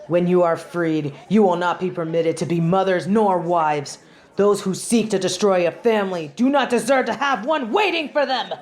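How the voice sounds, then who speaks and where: A woman's voice: fairly low pitch, moderate pace, natural voice. A woman playing a haughty, righteous angel judge in a scripted audio drama, speaking in formal, imperious, theatrical declamation. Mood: stern, commanding. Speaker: voice actor.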